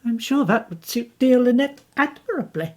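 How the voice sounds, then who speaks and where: A woman voicing a bitter, passionate young woman who speaks intensely and emphatically, with a husky, raised voice. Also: fairly high pitch, expressive, moderate pace, a little mellow, pitched above the performer's own voice. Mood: impassioned.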